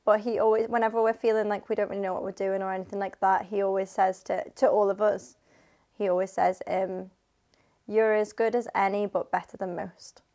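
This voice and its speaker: A woman's voice: medium pitch, level tone, a little fast, warm tone. A woman in her thirties co-hosting a podcast, chatting casually and keeping the questions moving with supportive interjections. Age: 30s. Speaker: podcast co-host. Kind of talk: casual chat.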